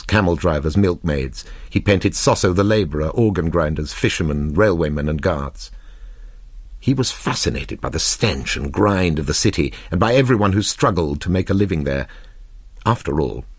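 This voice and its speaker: Man, literary narration, low pitch, very dry close sound, measured expressive delivery, deliberate pauses. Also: slightly rough, no echo. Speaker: narrator reading a short story.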